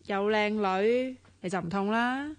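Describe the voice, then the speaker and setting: A woman's voice: mid-range voice, unhurried, no echo, lightly acted. A woman with a Hong Kong Cantonese accent, playing a skeptical young woman who teases her friend in a radio drama. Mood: doubtful, teasing. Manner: doubtful, teasing.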